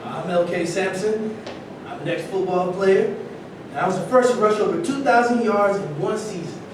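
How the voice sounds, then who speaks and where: A man's voice: moderate pace, close to an everyday voice. A man playing a troubled, defensive ex-football player in a staged play reading. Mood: matter-of-fact. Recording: echoey distant room recording, noisy rumble.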